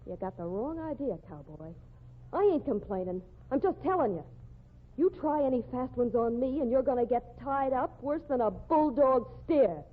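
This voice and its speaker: A tough jealous rodeo backer. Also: female voice, high voice, animated, moderate pace. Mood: menacing. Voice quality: hard-edged slangy. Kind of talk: 1940s radio acting. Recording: tinny old radio broadcast.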